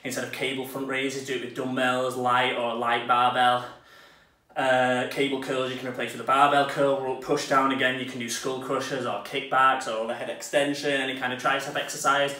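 A man's voice: medium pitch, little pitch movement, moderate pace, pushed and bright. A young man giving casual, offhand workout advice with fillers, recorded in a small home gym. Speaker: fitness vlogger. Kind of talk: casual workout talk-through. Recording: echoey room, voice from far off.

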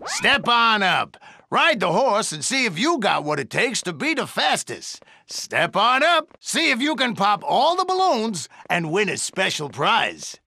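An actor voicing an excitable little toy creature who calls out like a showy carnival barker in a video game. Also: mid-range voice, a little slow, slight background noise, exaggerated voice. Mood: showy, eager. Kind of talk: video game character dialogue.

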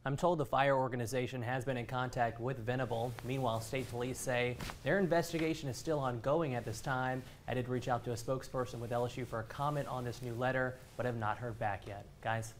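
Young adult male, TV reporter reading a scripted news package, medium pitch. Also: steady tone, fairly quick, hardly any pauses, slight background noise.

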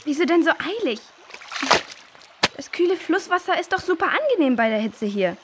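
Easygoing little girl, high voice, lively melody, curious, children's audio drama. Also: moderate pace. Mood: curious, cheerful.

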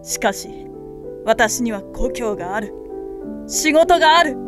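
An actress playing an earnest long-lost lover, grandly romantic and dramatic, in a comedic voice drama. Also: female voice, fairly high pitch, animated, measured pace. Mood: melodramatic. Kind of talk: melodramatic comedy voice drama acting. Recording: piano music under the voice.

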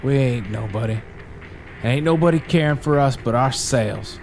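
An actor playing a cruel, mocking outlaw brother who makes threats in folksy rural slang. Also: male voice, mid-range voice, normal speed, a little mellow, a little hiss or hum, close to an everyday voice. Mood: sneering. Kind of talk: indie audio drama acting.

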